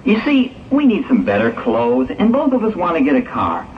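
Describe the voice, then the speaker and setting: Man playing high, animated young graduate, old tape. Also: normal speed. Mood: casual. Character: eager, money-cautious young male graduate. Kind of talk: 1960s amateur audio drama acting. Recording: distant, muffled old hissy tape.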